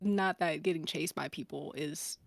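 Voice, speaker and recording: A woman's voice: sits low, moderate pace, creaky, no room sound. A woman guest chatting casually, thinking aloud in a hesitant, teasing way. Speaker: guest on an audio drama after-show. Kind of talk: casual chat.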